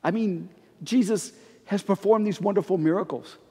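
A middle-aged man, a pastor, preaching a conversational, expressive sermon while standing before the altar. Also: high-pitched, moderate pace, breathy edge. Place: church sanctuary before the altar. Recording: long reverb of a church sanctuary.

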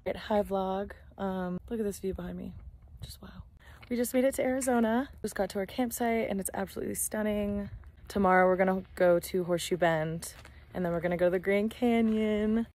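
A woman's voice: mid-range voice, a little fast, darkish tone. A woman filming a casual travel vlog on her phone outdoors at a campsite, chatty and awed by the view. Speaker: travel vlogger. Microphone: handheld phone.

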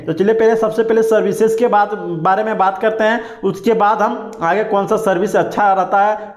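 High-voiced man walking through an app. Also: roomy sound. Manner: lists app features one by one. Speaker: app tutorial host. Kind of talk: screen-recorded tutorial voice-over. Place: indoors, voice-over to a phone screen recording.

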